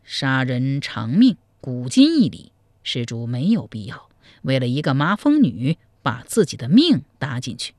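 A man storyteller voicing a sly, scheming male Buddhist monk who counsels in a slow, hushed manner. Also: medium pitch, huge pitch swings, no room sound, pitched below the performer's own voice. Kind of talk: storytelling, acted dialogue.

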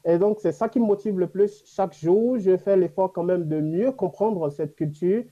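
A man's voice: sits high, moderate pace, mellow, highs cut off. A man from Africa, a native speaker of an African language, answering in an unscripted panel interview, reflective and earnest, with hesitations. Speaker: interview guest living in China.

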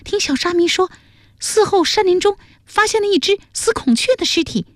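A woman voicing an excitable, chattering young woman in an audiobook of a historical novel, eager and exclamatory. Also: extremely high pitch, normal speed, no room sound, studio quality, voice pushed up. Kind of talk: audiobook character voice.

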